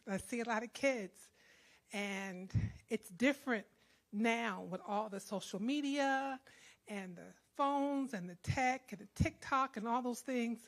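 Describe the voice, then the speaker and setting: An older woman, a high school counselor and grandmother, sharing earnestly and a bit nervously at a book club. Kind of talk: personal sharing. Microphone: handheld mic.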